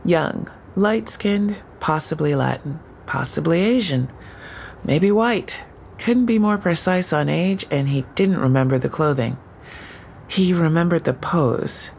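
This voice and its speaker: A woman's voice: deep voice, animated, unhurried, creaky, darkish tone, slight background noise, exaggerated voice. An actress playing an earnest female patrol officer in an audio drama, reporting in a precise, matter-of-fact way. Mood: sober, factual.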